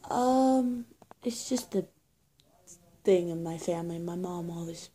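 A young woman improvises one side of a roleplay scene. Her voice is husky and on the dark side, slightly muffled by a scarf, and she takes her time with unhurried delivery. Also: lowish voice. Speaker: amateur roleplay video creator. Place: small plain indoor room. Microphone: phone or webcam at arm's length.

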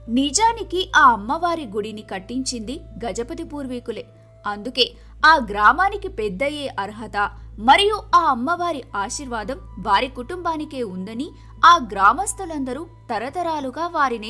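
A woman storyteller with a high, bright voice delivers scripted moral-tale narration. Faint music runs behind her.

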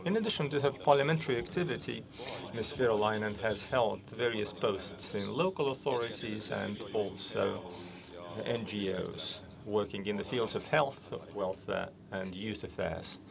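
A man's voice: lowish voice, moderate pace, gravelly, a crowd in the background. A young man moderating a conference session, reading out a speaker's biography with hesitant fillers. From Estonia. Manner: reads a bio with hesitant fillers. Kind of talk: formal introduction of a speaker. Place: conference hall, seated at a panel table. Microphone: table gooseneck microphone.

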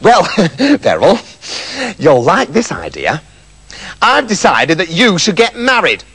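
A man's voice: sits high, wildly swooping pitch, slow pace, fairly bright tone, slightly rough, full character voice. A man playing an exasperated old fairy-tale king. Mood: coaxing. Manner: firm, paternal, losing patience. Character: exasperated old king, father of a spoilt princess. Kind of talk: comic fairy-tale storytelling.

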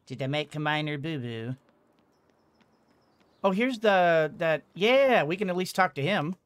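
A man's voice: on the high side, expressive, unhurried, dry recording. Rambling, playful middle-aged male streamer. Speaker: streamer, let's player. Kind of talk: casual game commentary. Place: indoors at a desk. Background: game music.

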